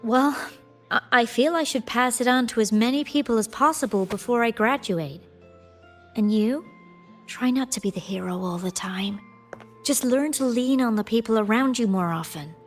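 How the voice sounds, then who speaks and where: An actress playing a kind young graduate student in a video game, gently teasing and earnest, with slight hesitation. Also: female voice, on the high side, moderate pace, faint music behind, close to an everyday voice. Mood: gentle, warm. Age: young adult.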